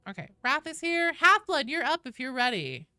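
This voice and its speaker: A woman's voice: high-pitched, big pitch swings, normal speed, bright, forceful tone, dry recording, studio quality. A woman hosting a game livestream, chatting casually and informally with viewers, swearing freely. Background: faint video game sounds.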